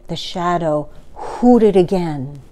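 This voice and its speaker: A woman in her sixties reads a picture book aloud. Her voice is soft-edged and mellow, pitched a little low, and she takes her time. Each phrase is placed gently and deliberately, as for a children's story. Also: lively intonation, rough recording. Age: 60s. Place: small furnished living room, indoors.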